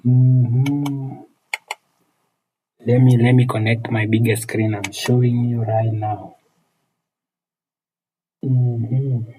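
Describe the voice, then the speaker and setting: A man's voice: medium pitch, steady tone, extremely slow, long pauses, dark tone, slight background noise, rough recording. A man with a Kenyan accent chatting casually on a livestream as he teaches repair and replies to viewers, often repeating his phrases. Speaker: phone repair technician and live streamer.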